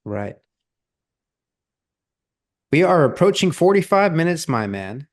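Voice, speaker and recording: A man's voice: fairly high pitch, big pitch swings, slow. Friendly male podcast host. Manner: friendly, affirming, calls guest brother. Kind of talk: casual interview talk.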